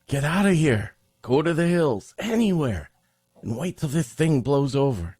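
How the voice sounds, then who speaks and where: Man as an urgent gangster dog, pitched up. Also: big pitch swings, unhurried, darkish tone, breathy edge, no room sound, clean studio sound, heavily acted. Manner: urgent, warning. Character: furtive male gangster dog in an old crime tale.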